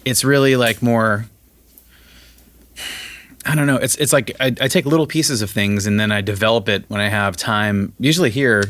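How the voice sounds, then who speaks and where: A man's voice: on the low side, moderate pace, fairly bright tone. A man hosting a casual conversation as a musician, talking candidly and self-deprecatingly while he searches for words. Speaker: host musician.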